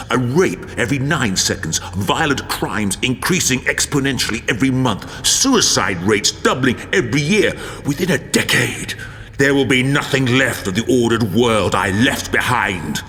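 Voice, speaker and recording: An actor playing a brooding, embittered and ruthless warlord in a dramatic audiobook, grim, deliberate and theatrical. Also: male voice, mid-range voice, lively intonation, bright, forceful tone, slight background noise. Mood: bitter, rising anger. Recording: long hall reverb, music behind.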